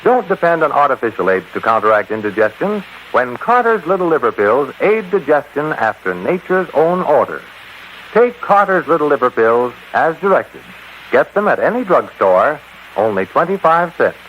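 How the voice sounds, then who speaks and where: An actor in a vintage radio commercial, playing an everyman who delivers an announcer's sales pitch. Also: very animated, moderate pace. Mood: earnest selling. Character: irritable man with indigestion turned cheerful pill pitchman. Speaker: advertising announcer. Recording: muffled, hissy old radio recording.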